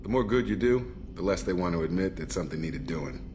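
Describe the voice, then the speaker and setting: A deep-voiced, easygoing ex-soldier. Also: male voice, lively intonation, a little fast, over music. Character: ex-military male officer, relaxed and candid. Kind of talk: video game dialogue.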